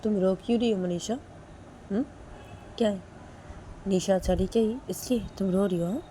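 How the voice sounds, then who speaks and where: A woman's voice: mid-range voice, normal speed, mellow, slight background noise, natural voice. A woman voicing a middle-aged mother who scolds and teases her daughter, ending lines with prodding questions. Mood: scolding. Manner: nagging, tags questions with "haan?".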